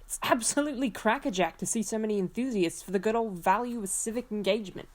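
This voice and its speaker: A woman's voice: mid-range voice, fast talker, natural voice. A woman voicing a drunk, rambling male celebrity given to folksy old-timey exclamations, in an audio drama. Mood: tipsy enthusiasm. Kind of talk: voiced web-fiction audio drama.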